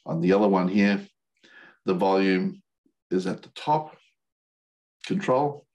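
A man's voice: low-pitched, big pitch swings, slow, vocal fry, darkish tone. An older man giving an explanatory presentation over a video call in a plain-spoken, hesitant way. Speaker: presenter, licensed radio operator. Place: remote video call webinar.

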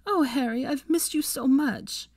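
Woman voicing a sarcastic, animated young witch. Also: mid-range voice, normal speed, dry recording, pitched above the performer's own voice. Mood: fond, eager. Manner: sarcastic, pointed. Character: sardonic, cold-tempered young witch, weary and affectionate.

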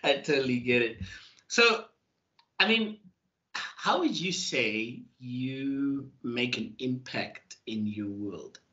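A man's voice: mid-range voice, animated, slow pace, muffled recording. A young man hosting a podcast over a video call, enthusiastic and upbeat, often repeating words. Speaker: podcast host. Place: indoor room. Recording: video call audio with loud music beneath.

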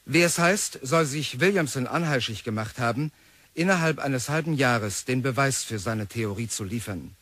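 An actor playing a formal reader of newspaper reports in a radio drama, factual in manner. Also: male voice, mid-range voice, animated, moderate pace, a bit bright, no echo. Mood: matter-of-fact. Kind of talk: scripted news-report reading in radio drama.

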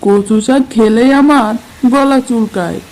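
A woman's voice: a little high, measured pace, darkish tone, natural voice. Woman playing a grumbling village husband. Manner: grumbling, exasperated. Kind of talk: cartoon dubbing.

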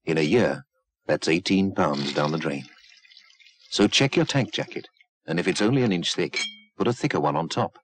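A man's voice: sits low, lively intonation, normal speed, slight background noise. A man with a British accent reading scripted public information narration as an advert voice-over.

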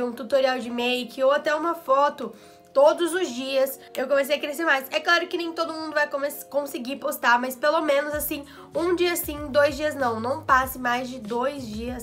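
A young woman vlogger, slightly hoarse from a cold, giving tips in chatty casual talk full of asides, filmed in her small bedroom studio. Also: high voice, fairly even intonation, fairly bright tone, faint music behind. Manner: chatty, quick asides and fillers. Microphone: camera in front, head and shoulders framing.

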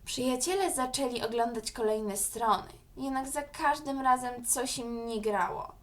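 A woman with a high-pitched voice narrates a children's story. She reads from a script as the storyteller. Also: a little slow.

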